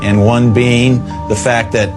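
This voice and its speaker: A man interviewed as a former investigator, speaking in a hesitant, halting way. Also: mid-range voice, little pitch movement, music in the background.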